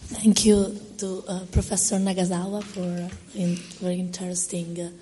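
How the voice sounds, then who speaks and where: A hoarse woman with an Italian accent who has a cold, giving an academic reply with many fillers and pauses. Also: lowish voice, level tone, warm tone, a little hiss or hum. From Italy. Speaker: respondent at an academic talk. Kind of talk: academic response, read with hesitations.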